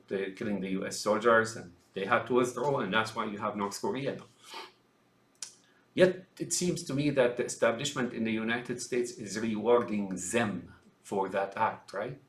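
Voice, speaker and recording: A man's voice: on the low side, a bit bright, roomy sound. Rambling man from Syria, emphatic. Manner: rambling, emphatic, addresses listeners as folks. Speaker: commentator. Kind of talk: ranting political monologue.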